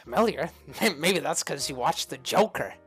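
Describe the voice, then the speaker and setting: A woman's voice: lively intonation, moderate pace, soft background music. A woman doing a cackling unhinged clown villain. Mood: mocking. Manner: shrill cackling laughter, sudden shouts. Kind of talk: prank voice chat with strangers.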